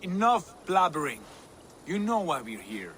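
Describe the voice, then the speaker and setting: An actor playing a confident Viking leader in a video game, composed and wry in manner. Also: male voice, a little high, sing-song, measured pace, fairly bright tone, a little hiss or hum. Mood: impatient. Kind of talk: video game character acting.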